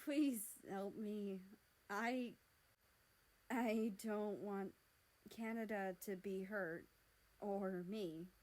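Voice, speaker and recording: A woman's voice: mid-range voice, drawn-out words, pauses often, darkish tone. A woman voicing a short, hot-tempered, impulsive, lovesick male character in a story, with dramatic delivery. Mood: pleading. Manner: dramatic, swings between outbursts and pleading.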